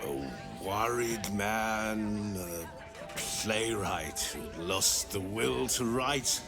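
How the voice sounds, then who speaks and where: A man's voice: fairly low pitch, expressive, very slow, a crowd in the background, heavily acted. An actor playing a whimsical former playwright, a carefree man with a theatrical delivery in a video game. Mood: dreamy, carefree. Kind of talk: video game character acting. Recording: background music.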